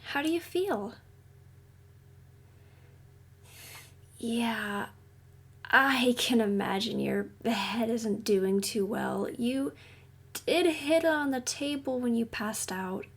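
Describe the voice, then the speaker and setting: A woman playing a caring, reassuring counselor at a secret base in an audio roleplay, speaking gently and explaining things soothingly. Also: on the high side, slow pace, slightly rough, full character voice. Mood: gentle, reassuring. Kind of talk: audio roleplay addressed to the listener.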